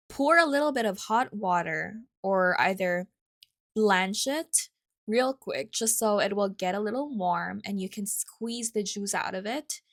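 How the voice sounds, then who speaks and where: A young woman with a Filipino accent talks casually as a solo podcast host. Her pitch sits in the middle range for a woman. She tells stories in a chatty, personal way, wandering into asides and correcting her own words as she goes. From Philippines.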